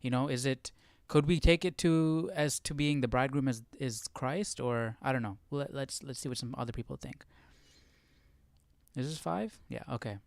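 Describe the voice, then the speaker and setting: A man's voice: medium pitch, moderate pace, gravelly, dry recording. A man hosting a casual live study session, thinking aloud in a tentative, questioning way. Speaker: livestream Bible study host.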